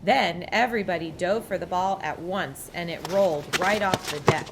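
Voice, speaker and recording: A woman reading a children's picture book aloud with expressive narration. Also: on the low side, normal speed, very noisy. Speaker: storybook reader.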